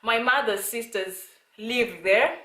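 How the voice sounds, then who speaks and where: A woman's voice: fairly high pitch, slow pace, pushed and bright, echoey room, poor audio. A young woman from Zimbabwe talking casually to her channel's viewers, rambling with lots of fillers and restarts. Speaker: vlogger. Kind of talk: casual talk to camera. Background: child talking in the background.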